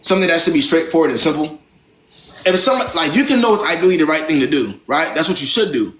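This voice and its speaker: A young man rattles off blunt relationship advice at a quick clip, sprinkling slang as he lectures a caller. His voice sits at an ordinary pitch. It comes through a roomy, echoey space with faint background noise. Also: expressive, fairly bright tone. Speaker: live-stream advice host.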